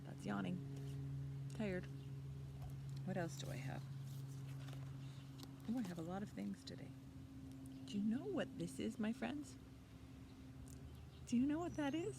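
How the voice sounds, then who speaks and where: Slow-paced woman hosting children's storytime. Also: mid-range voice, gravelly. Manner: simple short phrases, encouraging questions to children. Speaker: children's library storytime host. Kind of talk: interactive preschool storytime talk. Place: outdoors in a leafy backyard. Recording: outdoor ambient noise.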